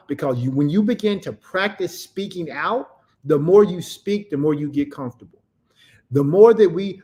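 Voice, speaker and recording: A man's voice: fairly high pitch, a little mellow. A man preaching a motivational sermon, emphatic and repetitive, often asking a question and answering it himself.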